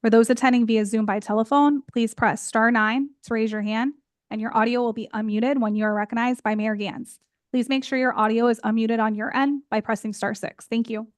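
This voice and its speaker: A woman city clerk reading instructions in a clear, measured way at a commission meeting. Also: fairly high pitch, steady tone, no room sound, studio quality.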